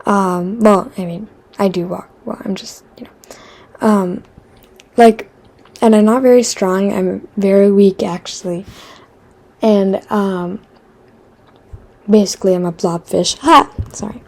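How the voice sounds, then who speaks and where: Chatty teenage girl from Quebec, soft husky voice. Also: mid-range voice, steady tone, measured pace, pauses often. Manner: chatty, self-deprecating, many fillers and restarts; drops into a whisper for asides. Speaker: vlogger. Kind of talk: rambling casual chat.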